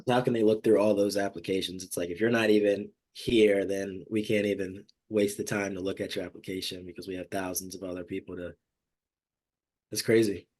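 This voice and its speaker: A man's voice: deep voice, little pitch movement, fairly quick, darkish tone. A man co-hosting a podcast, speaking casually with lots of "like" fillers.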